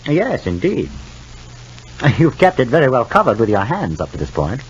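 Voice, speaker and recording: An actor playing a stern, formal father in a 1950s radio soap, questioning in a measured, halting way. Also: medium pitch, huge pitch swings, husky, full character voice. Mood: restrained disappointment. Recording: dull, noisy 1950s radio recording.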